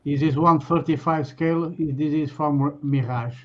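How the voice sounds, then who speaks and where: Higher-voiced man explaining his models haltingly. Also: fairly even intonation, fairly bright tone. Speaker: guest showing models. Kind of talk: casual show-and-tell. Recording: dull lo-fi livestream audio.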